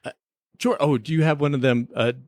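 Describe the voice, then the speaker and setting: A man's voice: fairly high pitch, fairly even intonation, fairly quick, no room sound. Man chatting casually, dry close sound. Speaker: podcast co-host. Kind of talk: casual TV episode review chat.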